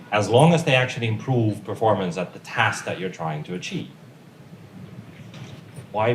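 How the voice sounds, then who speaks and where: A man whose first language is Finnish, giving a technical tutorial at a conference in an informal, joking way. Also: lowish voice. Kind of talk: technical lecture. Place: conference room. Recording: echoey room with faint noise.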